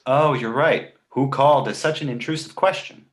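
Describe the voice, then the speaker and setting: A man playing a precocious talking baby boy, sardonic, indignant and pedantic, in an animated comedy table read. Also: mid-range voice, moderate pace, natural voice. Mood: cocky, teasing. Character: precocious, snarky two-month-old talking baby boy.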